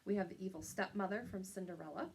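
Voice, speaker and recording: A woman's voice: medium pitch, fast, vocal fry, a little hiss or hum, rough recording. A woman teacher giving an instructional lesson to young pupils, explaining step by step and checking in with little tag questions.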